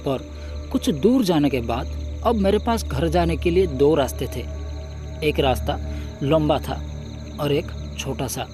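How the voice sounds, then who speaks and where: A man narrating a scripted first-person horror story in a dramatic, suspenseful way with deliberate pauses. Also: on the high side, darkish tone.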